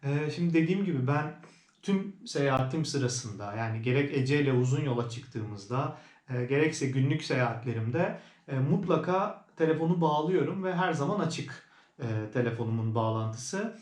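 A man's voice: medium pitch, expressive, normal speed, darkish tone. A man giving a casual, conversational product review as a vlogger, explaining things as he goes. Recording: roomy indoor echo.

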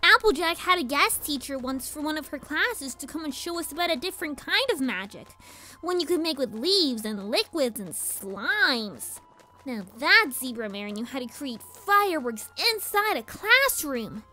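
Bratty little cartoon filly villain, high bright voice, sassy, defensive, scheming. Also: female voice, big pitch swings, normal speed, exaggerated voice. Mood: chatty, confident. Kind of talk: animated-style fan audio drama.

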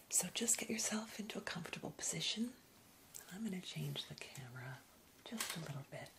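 Whispering woman in slow ASMR meditation. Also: sits low. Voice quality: breathy whisper. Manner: gentle, soothing, unhurried. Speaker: ASMR host guiding a meditation. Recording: clear background noise, ambient hiss.

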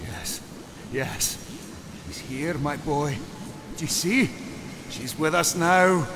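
A man playing an obsessed, remorseful mad scientist father in an audio drama, declaiming grandly and swinging from hushed to fervent. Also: fairly high pitch, takes it slowly, background noise. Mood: manic triumph. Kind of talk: theatrical audio drama monologue.

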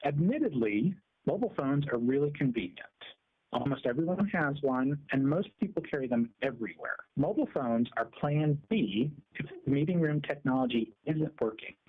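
A man's voice: medium pitch, expressive, normal speed, slightly rough. A middle-aged man giving a scripted demonstration, heard through a speakerphone in a meeting room. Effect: speakerphone sound. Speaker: presenter. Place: small meeting room, indoors. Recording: muffled, lo-fi speakerphone pickup.

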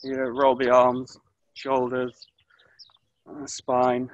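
Slow, calm male aikido instructor outdoors. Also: medium pitch, dry recording, lo-fi sound. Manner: calm, slow coaching with short cues and counts. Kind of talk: live online class instruction. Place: outdoors in a grassy orchard. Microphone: camera mic, distance varies as he moves.

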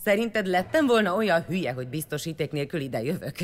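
A woman's voice: on the low side, animated, in a large hall, natural voice. An actress playing a vengeful, sardonic woman warrior leader in an audio drama, mocking and commanding. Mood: defiant. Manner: mocking, commanding, foul-mouthed.

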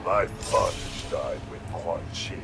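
Vengeful undead ninja for a fighting game, deep and curt, slightly distant under loud music. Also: male voice, lively intonation, unhurried, vocal fry, full character voice. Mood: stern, accusing. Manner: curt, accusing, formal.

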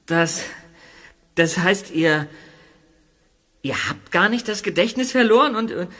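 An injured young detective who stammers and jumps between highs and lows. His voice is nervous and lively, as in a radio play. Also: a little slow, heavily acted. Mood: startled.

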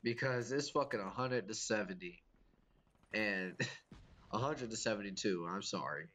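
A man's voice: fairly low pitch, measured pace, fairly bright tone. A young man with a congested, sniffly voice from a cold, giving casual, profane gameplay commentary and ranting about his teammates. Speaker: gaming YouTuber.

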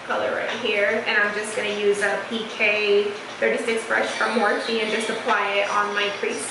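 A woman's voice: medium pitch, normal speed, far from the mic. A woman from California, chatting and laughing often while doing her makeup on camera as host of a beauty channel. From Fresno, California. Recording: echoey room with steady noise, a bit off-mic.

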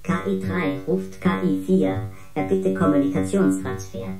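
A woman's voice: low voice, lively intonation, unhurried, gravelly, heavily acted. A woman playing a precise, smitten artificial intelligence in a radio play, with formal technical diction. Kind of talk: German radio play acting. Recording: loud guitar music behind.

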